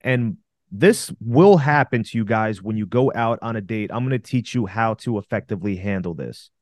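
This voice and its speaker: A man's voice: on the low side, animated, normal speed, darkish tone, dry recording, clean studio sound. A man co-hosting a podcast, coaching male listeners on dating with emphatic, direct advice. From Russia. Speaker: podcast co-host.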